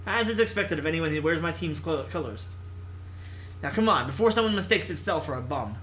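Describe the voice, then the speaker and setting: A man's voice: on the high side, lively intonation, brisk, fairly bright tone, low-quality recording. A man reading the part of a brusque, demanding female esports team captain in a visual novel, curt and commanding. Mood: grudging.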